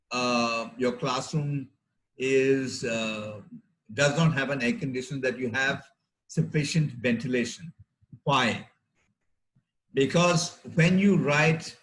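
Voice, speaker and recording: A middle-aged man with an Indian accent, a doctor giving a health talk to teachers in a deliberate, measured, explanatory way with pauses between phrases. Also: medium pitch, fairly bright tone, rough recording.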